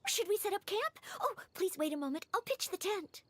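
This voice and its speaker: A dutiful young girl in a video game, courteous and helpful. Her voice is extremely high and lilting, with lively rises and falls. There is a clear babble of sound behind her. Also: a little fast, crowd noise. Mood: eager, polite. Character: polite, dutiful young camp helper girl.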